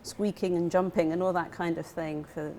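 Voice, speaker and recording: A woman's voice: fairly low pitch, fairly even intonation, normal speed, darkish tone, a little hiss or hum. A middle-aged British woman, a film lecturer, answering in a chatty studio interview with many fillers.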